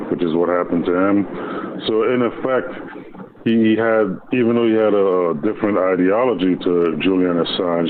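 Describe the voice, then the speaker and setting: A man calling in to a talk show, speaking in a florid, rambling way with many fillers. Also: low voice. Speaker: caller. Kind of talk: phone-in commentary. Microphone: phone. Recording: tinny phone line with faint hiss.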